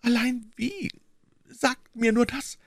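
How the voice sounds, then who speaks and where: A man's voice: very high voice, slow pace, no echo, clean studio sound, voice pushed up, full character voice. A man voicing an anguished, fearful queen who exclaims breathlessly and dramatically in a storybook reading. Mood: despairing. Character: anguished queen, high-pitched, fearful and imploring.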